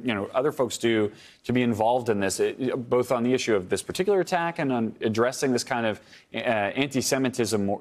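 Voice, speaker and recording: A man anchoring live television news, putting questions to a guest. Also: medium pitch.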